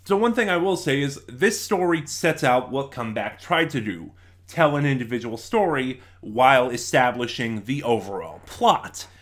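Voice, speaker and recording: A man's voice: mid-range voice, expressive, moderate pace. A man giving a casual spoken review as host, digressing and correcting himself as he goes. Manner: rambling, digressive, self-correcting.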